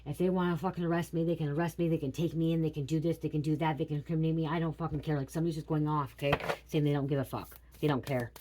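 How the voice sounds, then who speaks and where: A woman's voice: sits low, steady tone, racing, hardly any pauses, noisy background. A middle-aged woman talking casually and confessionally, blunt and sweary, repeating phrases for emphasis. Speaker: host giving a spiritual reading.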